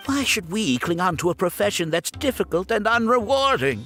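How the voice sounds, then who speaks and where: A scheming architect from a video game, smug and self-justifying. His voice sits a little high and rises and falls dramatically, condescending throughout. Clear music plays behind him. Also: very animated, moderate pace. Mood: smug, persuasive. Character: smug, scheming male architect running a scam academy. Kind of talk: theatrical video game voice acting. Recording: game audio with background music.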